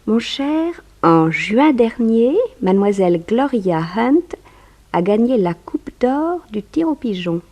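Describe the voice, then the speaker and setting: A woman's voice: fairly high pitch, big pitch swings, slow, a little mellow, heavily acted. A woman playing a poised, knowing character who argues pointedly in a 1960s French radio crime drama. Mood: pointed, assured.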